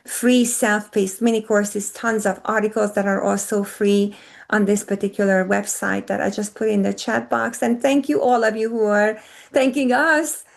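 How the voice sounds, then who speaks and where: A woman's voice: mid-range voice, steady tone, moderate pace, gravelly. A woman whose first language is Hungarian, speaking earnestly as a panelist in an online discussion over a video call.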